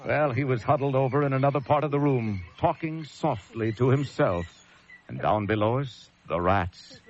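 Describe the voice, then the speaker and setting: A man's voice: mid-range voice, animated, moderate pace, lightly acted. An actor playing a tense, desperate lighthouse keeper who narrates his ordeal in dramatic, urgent old-time radio thriller style. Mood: exhausted dread. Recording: dull vintage radio recording, loud music.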